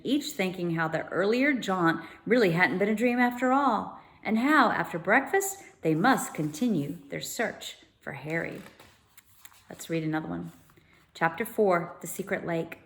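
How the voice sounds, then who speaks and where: A woman storyteller narrating a children's book, slipping into informal explanatory asides. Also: on the low side. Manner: pauses to explain unfamiliar words informally. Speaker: read-aloud storyteller.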